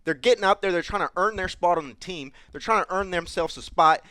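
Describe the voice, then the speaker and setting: A man's voice: high voice, a little fast, sharp tone, clean tone, dry recording. A man playing a brash, profane male college football coach who brags and swears while talking to an interviewer. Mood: fired up. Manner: boastful, crude, keeps addressing the host by name. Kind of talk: improvised comedy interview sketch.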